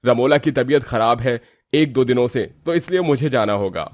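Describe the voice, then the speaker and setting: A man acts out a spiteful village schemer for a Hindi moral tale. His delivery swings widely in pitch, stern and plotting, in a dry, close recording. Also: animated, normal speed, a little mellow, no echo, natural voice. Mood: evasive. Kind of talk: Hindi moral story voice acting.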